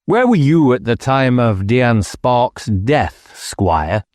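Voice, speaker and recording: A man's voice: medium pitch, very animated, slow, darkish tone, no echo, clean studio sound, voice pushed up. A man voicing a dogged, blunt police inspector in an audiobook, ruthless and insistent, as if always interrogating. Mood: demanding. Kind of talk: audiobook character acting.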